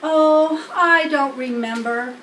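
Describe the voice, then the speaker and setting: A woman's voice: high voice, takes it slowly, a bit bright, voice pushed up. A woman voicing a humble, naive young male pig from a children's storybook, earnest and dreamy. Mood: sleepy, content. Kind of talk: children's storybook character reading. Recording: roomy, distant mic, faint noise.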